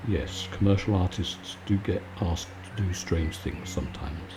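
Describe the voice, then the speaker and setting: A man giving a calm, soothing, conversational bedtime chat in a relaxed ASMR style. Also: low-pitched, unhurried, noisy background. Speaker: video maker and host.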